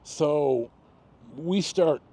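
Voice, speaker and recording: An American man in his sixties telling a personal story casually, rambling with long pauses and self-corrections. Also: on the high side, mellow. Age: 60s. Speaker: video storyteller. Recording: noticeable background noise.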